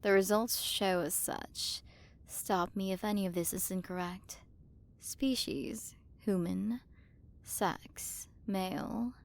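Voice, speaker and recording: A woman's voice: mid-range voice, steady tone, slow pace, gravelly, a little hiss or hum. A woman playing a haughty, possessive alien queen and scientist who talks down in a commanding, teasing way, in an ASMR roleplay. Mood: clinical.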